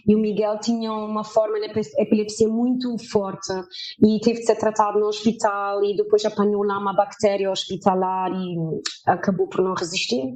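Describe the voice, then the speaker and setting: A woman in her fifties, from Germany, speaking as a guest in an interview and telling her story haltingly with many filler sounds. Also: mid-range voice, darkish tone, low-quality recording.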